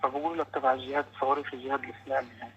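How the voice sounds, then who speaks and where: Man, Palestinian Arabic accent, casual phone chat, muffled and buried in loud noise. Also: mid-range voice, level tone, fast. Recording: muffled, very noisy intercepted phone call.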